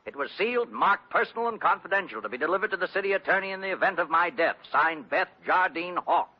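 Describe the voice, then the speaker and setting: An actor playing a grudging, irritable attorney with clipped replies in a 1940s-style radio drama. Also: male voice, high-pitched, expressive, fast. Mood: irritated. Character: testy, grudging male attorney woken from sleep. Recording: dull vintage radio recording.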